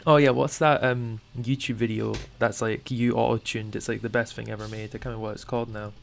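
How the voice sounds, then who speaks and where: A man with a Scottish accent chatting on a podcast, quick to laugh. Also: medium pitch, flat delivery, creaky. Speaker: podcast co-host. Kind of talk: casual podcast chat. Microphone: new microphone, remote call.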